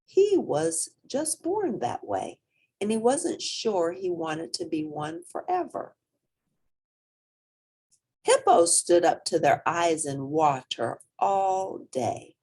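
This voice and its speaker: A woman reads a children's picture book with huge swings of pitch. Her voice is husky and fairly low, and she tells the story slowly with dramatic emphasis. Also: big pitch swings, a little mellow. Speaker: storybook reader. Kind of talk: expressive children's story read-aloud.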